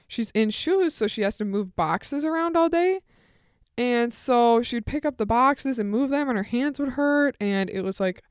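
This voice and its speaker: Chatty teenage girl with a smooth voice. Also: dry recording, studio quality. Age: teens. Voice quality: fairly deep voice for a woman. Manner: rambling, chatty, full of asides. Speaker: podcast host. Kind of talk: casual solo storytelling.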